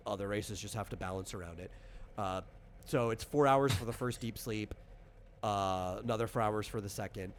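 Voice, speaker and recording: A man's voice: on the low side, normal speed, slight background noise. A man casually explaining tabletop game rules as designer and game master, talking informally with examples.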